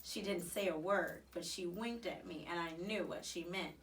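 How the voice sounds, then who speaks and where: A woman reading a children's story aloud in an expressive, animated way with dramatic emphasis. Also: lowish voice, normal speed, distant mic, slight background noise. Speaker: storybook reader.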